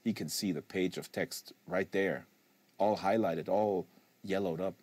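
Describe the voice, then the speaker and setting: A man giving a live, measured and expressive reading of a literary novel as the narrator. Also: low voice, no room sound. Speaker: audiobook reader and livestream host. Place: small sound-treated studio. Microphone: close studio microphone.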